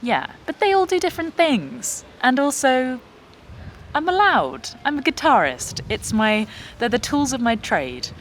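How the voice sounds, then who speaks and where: A woman's voice: sits high, big pitch swings, measured pace, slight background noise. A young adult woman, a singer-songwriter and guitarist, chatting casually as she reads out viewer questions and answers them with self-deprecating humour. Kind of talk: casual Q&A vlog.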